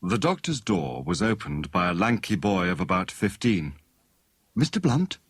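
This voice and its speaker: A man's voice: low-pitched, expressive, moderate pace, fairly bright tone, no room sound, studio quality. A man reading a scripted story as an audiobook narrator.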